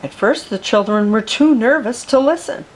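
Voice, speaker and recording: A woman reading a children's picture book aloud for storytime, with gentle, expressive narration. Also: a little high, normal speed. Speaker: storytime reader.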